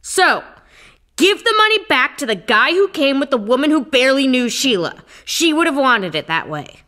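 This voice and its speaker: An actress playing an exasperated officiant trying to keep order at a memorial service. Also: female voice, high-pitched, normal speed, heavily acted. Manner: exasperated, stern, lively. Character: frazzled woman officiant losing control. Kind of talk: radio play acting.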